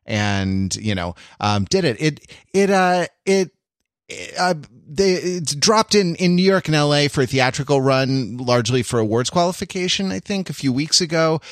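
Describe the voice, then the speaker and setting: Higher-pitched man hosting, close dry sound. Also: expressive, normal speed, dry recording. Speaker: podcast host. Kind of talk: casual panel podcast talk.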